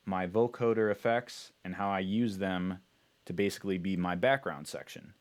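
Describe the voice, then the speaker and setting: A man's voice: sits low, normal speed. A man talking casually as he explains his music production work in an informal, instructional way. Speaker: music producer presenting a tutorial.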